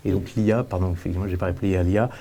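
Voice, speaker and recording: Man, interview guest, quick pace, very dark soft timbre, halting repetitions, explanatory answers. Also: deep voice, fairly even intonation, a little hiss or hum. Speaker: company representative, panel guest.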